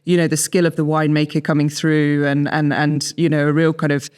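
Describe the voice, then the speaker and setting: A woman's voice: sits low, little pitch movement, a little fast, darkish tone, clear, resonant tone. A woman in her thirties with a southern English accent, answering conversationally as an expert guest in an interview. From southern England. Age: 30s. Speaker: wine expert and writer, guest.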